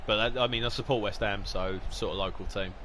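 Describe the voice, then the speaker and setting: Chatty Essex man with a low voice. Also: steady tone, fairly quick, fairly bright tone, slight background noise. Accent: Essex English. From Essex, England. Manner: chatty, jokey, rambling with restarts. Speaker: gaming streamer. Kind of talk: casual live-stream commentary.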